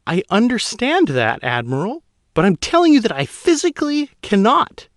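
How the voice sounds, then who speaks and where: A man voicing a nervous young woman shuttle pilot in a raised, strained falsetto. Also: big pitch swings, normal speed, no echo, clean studio sound, lightly acted. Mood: insistent. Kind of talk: audiobook character voice.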